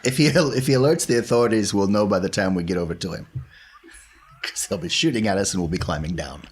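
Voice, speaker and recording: A man's voice: on the low side, quick pace. A man playing a tabletop roleplaying game, talking casually in a dry, matter-of-fact way. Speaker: player.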